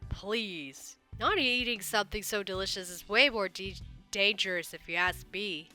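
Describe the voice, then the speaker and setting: A woman's voice: mid-range voice, normal speed, bright, forceful tone, soft background music, close to an everyday voice. A woman recovering from a cold reading lines for a cocky, food-loving male mouse detective in a game, boastful and a bit sheepish. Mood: smug.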